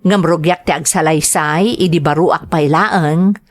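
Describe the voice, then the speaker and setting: A woman's voice: deep voice, unhurried, no room sound, studio quality. A woman with an Ilocano accent hosting a drama programme, reading out greetings and listener letters.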